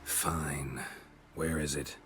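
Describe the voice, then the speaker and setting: An actor playing a stoic, dry-witted monster hunter in a fantasy game, with terse, deadpan lines. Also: male voice, extremely low pitch, extremely slow, a little hiss or hum, exaggerated voice. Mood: matter-of-fact. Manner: terse, deadpan, clipped sentences. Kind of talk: video game cutscene dialogue.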